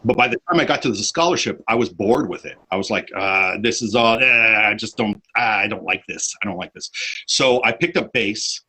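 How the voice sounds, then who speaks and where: Podcast host, man, low and husky, loose storyteller who keeps restarting sentences. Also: moderate pace, pushed and bright. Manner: rambling storyteller, many fillers and restarts. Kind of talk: casual chat.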